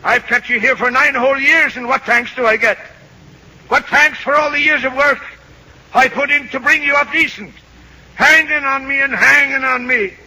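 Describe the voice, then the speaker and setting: An actor playing a proud, gruff, tormented old lighthouse keeper in an old-time radio drama, with emotional seafaring speech. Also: male voice, very high voice, normal speed, pushed and bright, heavily acted. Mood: angry, bitter. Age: older. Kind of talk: 1930s-40s radio drama acting. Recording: noisy vintage radio drama recording.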